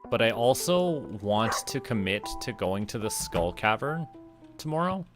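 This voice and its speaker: A man's voice: medium pitch, very animated, a little slow. A man from Canada chatting casually as a game streamer, thinking aloud in a playful way. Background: music.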